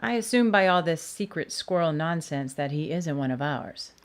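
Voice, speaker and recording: Skeptical woman doctor, lowish voice, lively intonation, weary, dry, audio drama. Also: moderate pace. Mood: wry, uneasy. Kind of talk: scripted audio drama acting.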